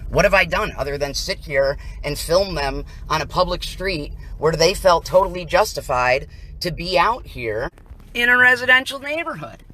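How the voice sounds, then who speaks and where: A woman's voice: on the low side, expressive, moderate pace, pushed and bright, poor audio. An American woman giving emphatic, indignant live commentary from inside a vehicle, leaning on rhetorical questions and pointed statements. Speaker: citizen filming a protest from a vehicle. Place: inside a vehicle on a residential street.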